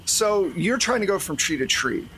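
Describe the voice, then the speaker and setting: A man's voice: high-pitched, very animated, moderate pace, bright, forceful tone, a little hiss or hum, rough recording. A man running a tabletop roleplaying game as game master, narrating and explaining in a conversational way.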